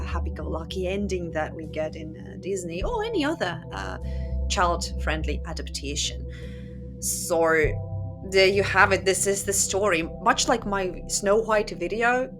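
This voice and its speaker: A woman hosting a channel, telling and commenting on stories in a casual, opinionated, sardonic and conversational way. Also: mid-range voice. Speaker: host of a book channel. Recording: music playing behind the voice. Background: instrumental music.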